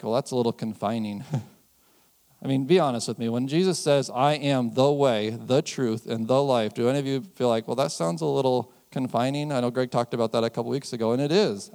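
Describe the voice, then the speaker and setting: An American man preaching a sermon in a conversational way, chuckling often and slowing down to stress key phrases. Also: mid-range voice, darkish tone. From United States. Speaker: pastor.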